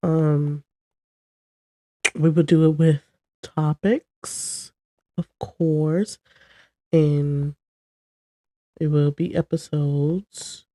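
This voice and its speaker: A young woman chats loosely and rambles on her first podcast episode, her voice stuffy and congested from a cold. She talks slowly in a mellow, low-key tone. The recording is dry and close. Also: level tone, no room sound. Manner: chatty and rambling, talking to camera. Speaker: podcast host. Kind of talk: casual solo chat.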